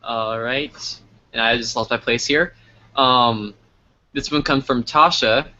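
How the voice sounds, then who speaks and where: A man's voice: mid-range voice, slow pace, bright, forceful tone, dull audio. A man hosting a casual live interview, talking informally as he puts questions and addresses the audience. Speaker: interview host.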